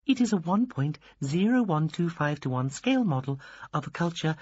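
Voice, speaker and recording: A man voicing a small, excitable alien that is fussy and childlike. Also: a little high, normal speed, husky, no room sound, highs cut off, voice pushed up. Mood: eager. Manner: chirpy, pedantic.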